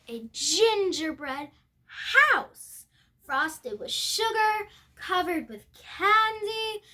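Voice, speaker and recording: A young girl reading a picture book aloud expressively, with halting moments and chatty asides to her viewers. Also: high voice, bright, forceful tone. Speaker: storybook reader.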